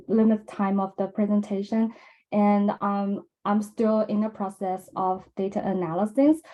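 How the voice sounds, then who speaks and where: A young woman from mainland China with a Chinese accent, giving a measured academic conference presentation with frequent ums and uhs. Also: medium pitch, mellow, poor audio. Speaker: presenter at a research symposium.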